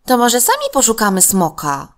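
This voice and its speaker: Woman doing a wishful little storybook boy, friendly and earnest, higher voice. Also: wildly swooping pitch, normal speed. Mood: hopeful.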